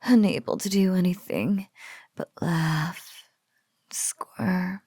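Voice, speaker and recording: A woman voicing a sultry, smugly menacing spider-woman bully in an intimate ASMR roleplay, breathy and often slipping into whispers, with mock-pitying coos. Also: lowish voice, fairly even intonation, slow, mellow, no room sound, clean studio sound, full character voice.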